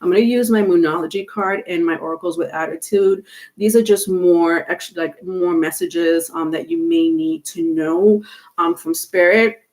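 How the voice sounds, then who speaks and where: A woman's voice: fairly low pitch, moderate pace, darkish tone. A woman with a stuffy nose doing a casual live tarot stream, chatting with viewers and full of fillers, without a microphone. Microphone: no microphone, device mic.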